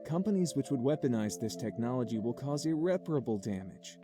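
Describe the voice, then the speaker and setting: An actor playing a middle-aged scientist father in a game, solemnly confessing to his son in measured, remorseful speech. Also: mid-range voice, lively intonation, over music, close to an everyday voice. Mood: grave, earnest. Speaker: game character in recorded message. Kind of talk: dramatic visual novel acting.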